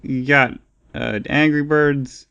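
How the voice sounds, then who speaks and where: A man's voice: a little high, steady tone, takes it slowly, gravelly, a bit bright, clear, resonant tone, dull audio. A man co-hosting a podcast in casual, opinionated discussion, rambling and repeating phrases as he thinks aloud. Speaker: podcast co-host.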